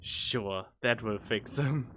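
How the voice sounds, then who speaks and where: A man hosting a casual gaming reaction video, talking in a halting way as he thinks aloud into a studio microphone. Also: mid-range voice, noisy background. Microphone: studio condenser microphone.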